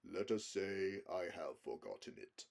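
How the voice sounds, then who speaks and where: A majestic, grave lion king acted out by a man reading a storybook speaks in a low voice. He is calm and measured, every phrase carrying command. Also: creaky, full character voice.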